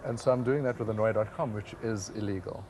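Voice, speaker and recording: Halting man from South Africa, street noise. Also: lowish voice, darkish tone. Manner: hesitant, many fillers and restarts. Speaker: website founder guest. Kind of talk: interview answers.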